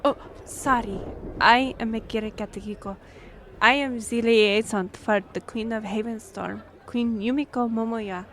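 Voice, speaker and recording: A slow-spoken young half-elf royal liaison, played by a woman, with a somewhat high voice. She is courteous and easily flustered, hesitating and apologising as she goes, in fantasy audio roleplay style. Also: steady tone, slight background noise. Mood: polite, formal.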